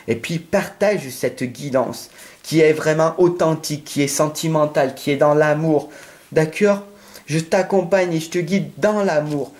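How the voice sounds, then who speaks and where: A man's voice: a little high, a little mellow. Man, French accent, tarot reader, earnest, insistent, talks straight to the listener. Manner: earnest and insistent, keeps checking with "d'accord?". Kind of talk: tarot card reading addressed to the viewer.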